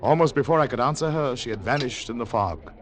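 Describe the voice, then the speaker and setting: An actor playing a grave, wary secret agent in a 1940s radio drama, with a measured, dramatic delivery. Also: male voice, mid-range voice, lively intonation, close to an everyday voice. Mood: grave, tense. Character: David, weary wary foreign secret agent narrating his mission. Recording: old radio recording with music.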